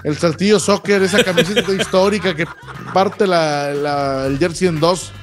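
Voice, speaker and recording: A man runs through sports results on a radio morning show, brisk and colloquial with a joking edge. His voice is high for a man. Faint music sits under the talk. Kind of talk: sports news rundown and banter.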